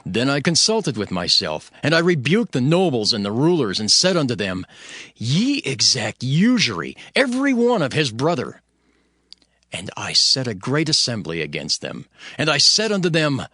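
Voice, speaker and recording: A man's voice: mid-range voice, a bit bright, no echo, studio quality. A man narrating dramatized scripture in the first person, with a solemn, declamatory delivery. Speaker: narrator reading as Nehemiah. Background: music.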